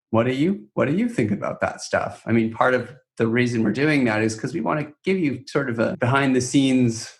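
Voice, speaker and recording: A man co-hosting a podcast, explaining things conversationally and reflectively, building his points through repeated phrasing. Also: mid-range voice. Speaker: podcast co-host.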